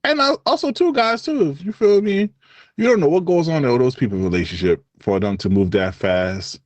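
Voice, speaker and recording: A man with a Haitian accent co-hosting a podcast in casual chat, animated and quick to laugh, his voice jumping high when he gets excited. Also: no echo. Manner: lively, jumps high in pitch when excited, laughs often. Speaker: podcast co-host.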